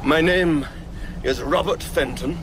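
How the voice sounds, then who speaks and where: An actor playing a desperate, half-mad lone survivor in a radio drama, theatrical and bitter. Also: male voice, fairly high pitch, slow. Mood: shaken, weary. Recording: loud ambient effects noise behind voice.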